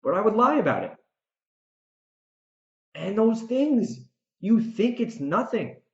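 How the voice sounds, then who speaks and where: A man hosting a podcast as its author, giving an unscripted, opinionated monologue, emphatic, with repeated words and dramatic pauses. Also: high-pitched, very animated, echoey room, lo-fi sound.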